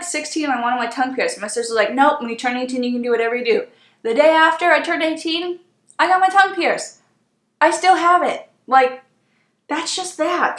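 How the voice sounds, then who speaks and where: A young woman in her twenties chats through a story time while doing her makeup, her voice sitting a little high. She sounds emphatic and exasperated, and the room around her rings with a noticeable echo. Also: a bit bright. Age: 20s. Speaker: beauty vlogger. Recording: echoey room.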